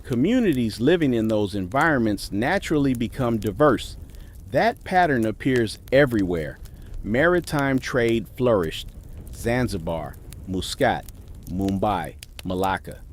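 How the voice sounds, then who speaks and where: A man reading scripted documentary narration in a measured, emphatic way. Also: mid-range voice, very animated, slight background noise. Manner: measured, emphatic list delivery.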